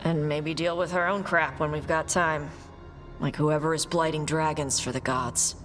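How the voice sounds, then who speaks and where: Irreverent game warrior, blunt, straight-talking, clear music backdrop. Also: female voice, low-pitched, steady tone, normal speed, fairly bright tone. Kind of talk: video game dialogue.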